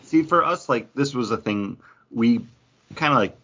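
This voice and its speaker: A man's voice: medium pitch, lively intonation, moderate pace, sharp tone. A man hosting a podcast in a casual, conversational way. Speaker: podcast host. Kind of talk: casual chat. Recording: dull lo-fi audio.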